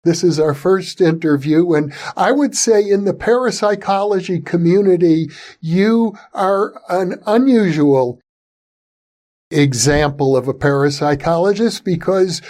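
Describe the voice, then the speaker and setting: Guy hosting an interview, slightly higher voice, calm formal intros. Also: measured pace. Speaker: host, interviewer.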